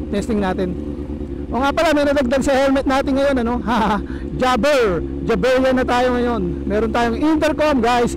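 A man with a Filipino accent giving casual commentary in a raised voice as he rides a motorcycle, recorded on a helmet lavalier. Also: very high voice. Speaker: motovlogger. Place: outdoors on a motorcycle in town traffic. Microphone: lavalier microphone in a helmet. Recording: noisy street with traffic and engine.

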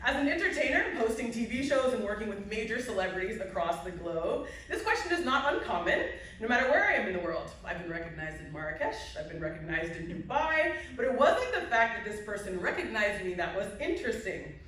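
A woman's voice: medium pitch, very animated, fairly quick, a bit bright, a little hiss or hum. A woman giving an emphatic award acceptance speech from a podium, building her points through repetition. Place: large indoor hall at a podium, to a seated audience. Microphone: podium mic, heard from a phone in the audience. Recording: echoey hall from far off.

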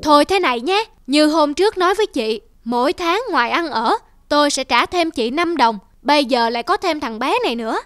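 A woman's voice: high-pitched, lively intonation, a little fast, few pauses, fairly bright tone. A woman voicing a stout, stingy middle-aged mistress in a cartoon folk tale, scolding and domineering. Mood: haughty, grudging. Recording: music behind the voice.

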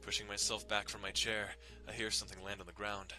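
A man's voice: on the low side, little pitch movement, quick pace, sharp tone, faint music behind. A man giving a dramatic reading of a visual novel as its narrator. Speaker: dramatic reader.

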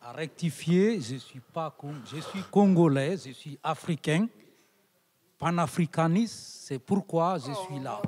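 A man from Guinea addresses the room from the audience, speaking very slowly and deliberately. His voice is slightly raised in pitch. The handheld mic sits a little away from him, and the hall adds a long reverb. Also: darkish tone, a little hiss or hum. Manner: slow, measured, deliberate. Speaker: audience member. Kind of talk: formal public address. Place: large hall, standing among the audience.

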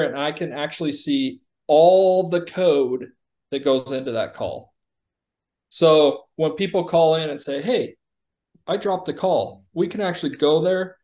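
A man's voice: fairly high pitch, a little mellow. A man in an executive role giving an informal, explanatory product demo over a video call. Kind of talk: casual software demo and explanation.

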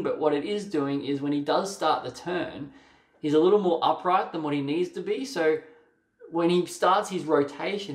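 Encouraging young coach, roomy echo. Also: male voice, medium pitch. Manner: direct, encouraging, uses "mate", frequent "um" and "sort of". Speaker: waterski coach. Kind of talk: video coaching commentary. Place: small room with a plain wall.

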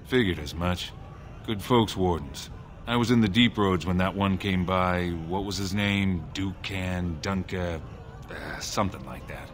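A man voicing a gruff, weary dwarf warrior in a video game, speaking in a terse, blunt way. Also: low-pitched, a little slow, slight background noise. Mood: gruff, friendly. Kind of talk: video game dialogue acting.

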